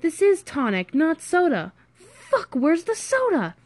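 A woman voicing a loud, irritable hungover man who swears and shouts in exasperation in audiobook dialogue. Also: fairly high pitch, expressive, measured pace, no echo. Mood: exasperated.

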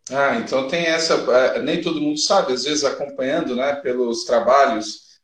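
A man's voice: medium pitch, moderate pace, reverberant. A man casually interviewing a guest in a conversational way.

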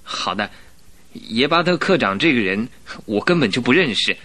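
Young office clerk, radio drama, naive and grateful, animated intonation, clear forward tone, courteous. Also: male voice, mid-range voice, moderate pace. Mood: grateful, eager. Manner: polite, eager to please.

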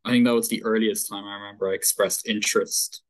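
A young man with a Dublin Irish accent, speaking as a guest on a podcast. His voice sits fairly low, and he tells his story in an earnest, thoughtful way, pausing to think as he goes. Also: little pitch movement. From Ireland. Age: 20s. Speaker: author guest. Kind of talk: casual interview chat.

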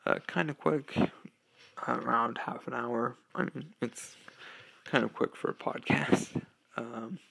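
A sixteen-year-old boy hosting his own podcast, talking casually and hesitantly with lots of ums and uhs, through a low-quality microphone. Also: fairly even intonation, slow pace, gravelly, bright, forceful tone, breathy edge. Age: teens. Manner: hesitant, many ums and uhs, occasional laughs. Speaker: podcast host. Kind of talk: casual solo podcast talk. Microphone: low-quality home microphone.

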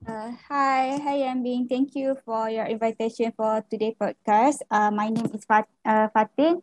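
Careful young woman, higher voice. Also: steady tone, darkish tone, dry recording. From Malaysia. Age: young adult. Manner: careful, slightly halting, with "uh" fillers. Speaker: education student volunteer guest. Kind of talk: podcast discussion.

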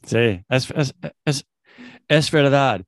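A man who speaks English as his first language, talking in halting, hesitant Spanish as an interview guest. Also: medium pitch, no room sound, studio quality. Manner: hesitant, halting non-native Spanish with restarts. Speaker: podcast host, guest. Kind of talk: interview conversation.

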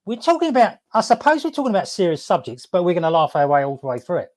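Halting upbeat man hosting a live stream. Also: high-pitched, wildly swooping pitch, a little mellow. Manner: halting, many fillers and restarts, checks in with "okay?". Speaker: host. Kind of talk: casual live-stream chat.

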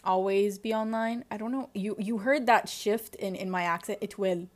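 A young woman in her twenties with a Lebanese accent, hosting a personal diary-style podcast and talking candidly and introspectively, often pausing to search for words. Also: mid-range voice, steady tone. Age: 20s. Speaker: podcast host.

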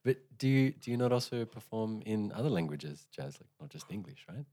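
A man with a very low voice hosts an interview. He asks measured questions and nudges the guest to explain herself. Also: animated, warm tone. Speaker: interviewer host.

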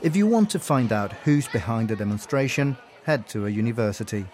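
Guy correspondent reading his news report, calm and measured, mid pitch. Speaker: foreign correspondent. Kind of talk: scripted radio report.

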